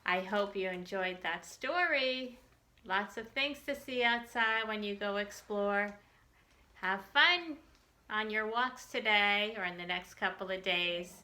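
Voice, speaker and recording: A woman storyteller leading a children's storytime, with an animated, sing-song, encouraging way of talking and questions to young listeners. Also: medium pitch, a little slow, a little hiss or hum.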